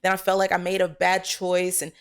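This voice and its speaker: A young woman chatting casually and candidly as an interview guest, telling stories in an animated way. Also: fairly low pitch, fairly quick, clear, resonant tone. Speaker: guest actress being interviewed.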